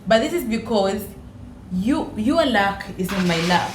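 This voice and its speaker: A woman's voice: mid-range voice, animated, measured pace. A woman from Uganda with a Ugandan accent, telling personal stories in conversation as a podcast guest and repeating phrases for emphasis. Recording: echoey room with clear background noise.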